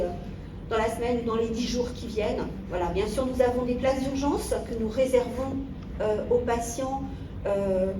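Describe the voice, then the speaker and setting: A woman with a fairly high voice presents informally as a health centre staffer. She sounds distant from the microphone, in a roomy space with noticeable noise around her. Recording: roomy echo, distant mic, noisy.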